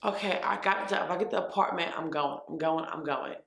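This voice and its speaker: A woman's voice: lowish voice, fast talker, gravelly, fairly bright tone, echoey room. A woman in her twenties from New York, chatting casually in a vlog with fillers and restarts. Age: 20s. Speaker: vlogger. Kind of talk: casual life-update vlog. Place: her apartment.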